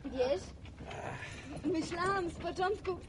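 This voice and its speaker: A woman's voice: extremely high pitch, slow. An actress playing an eager, gossipy village woman in a radio drama. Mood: excited. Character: excitable, talkative village woman Julka. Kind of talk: Polish radio drama acting. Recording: noisy outdoor scene with animal sounds.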